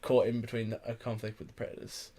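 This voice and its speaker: A man's voice: fairly low pitch, monotone, fast talker, dark tone. A young man hosting a casual podcast chat about film news, with a rambling, jokey, sweary manner. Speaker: podcast host.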